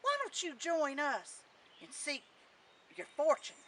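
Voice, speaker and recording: A woman's voice: high voice, huge pitch swings, slow, recorded from a distance, noisy background, heavily acted. A woman voicing a friendly, reassuring lad in a storybook folktale, in folksy rural dialect. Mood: encouraging.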